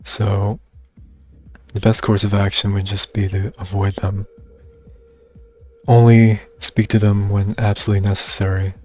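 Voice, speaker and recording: A young man talking in a soft, reserved and hesitant way, with many fillers, in a personal video monologue. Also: lowish voice, level tone, unhurried, pauses often, soft background music. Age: young adult. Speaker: vlogger.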